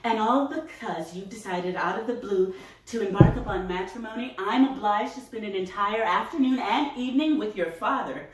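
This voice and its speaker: A woman's voice: medium pitch, expressive, normal speed, close to an everyday voice. A woman playing an aggrieved, self-pitying, domineering older mother in a theatrical monologue, indignant and guilt-tripping. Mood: affronted. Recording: roomy echo, distant microphone.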